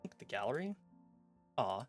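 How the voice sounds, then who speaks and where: A man streaming a visual novel, reading its text aloud and chatting between scenes in an animated, self-mocking, jokey way. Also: mid-range voice, normal speed, soft background music. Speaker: game streamer. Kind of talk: live-stream chat, reading a game aloud.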